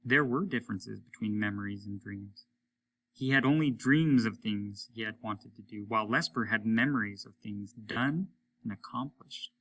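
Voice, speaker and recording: A man reading a story aloud as dramatic narration, deliberate and expressive. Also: lowish voice, poor audio. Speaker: story reader, teacher.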